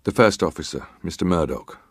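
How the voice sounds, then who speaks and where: Casting: middle-aged male naval officer in hearing drama, deep voice, clipped formal answers, close dry sound. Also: animated, a little fast, husky, no echo. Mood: guarded, composed. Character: formal ship's officer testifying, guarded and terse. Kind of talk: dramatised reading of hearing testimony.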